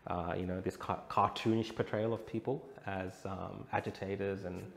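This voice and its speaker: Low, creaky-voiced Australian man. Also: steady tone, moderate pace. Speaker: interview guest, protest reporter. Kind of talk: conversational interview answers. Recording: roomy echo, clear background noise.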